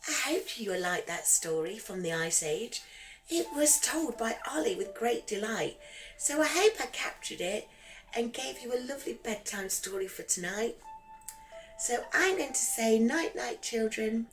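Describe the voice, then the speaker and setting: A woman narrating a rhyming children's bedtime story in a gentle, expressive, singsong way, as a storyteller for young listeners. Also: a little high, unhurried, poor audio. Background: background music.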